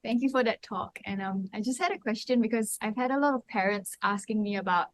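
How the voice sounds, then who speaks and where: A woman's voice: medium pitch, a little fast, no echo. A woman from Singapore, a therapist in a conference audience, politely explaining and asking a question.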